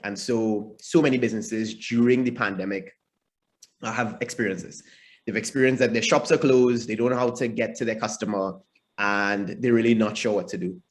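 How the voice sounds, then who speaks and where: A man's voice: fairly low pitch, steady tone, moderate pace. Earnest man hosting a panel. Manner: earnest, rambling with many ums and restarts. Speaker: host. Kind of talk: moderating a webinar panel.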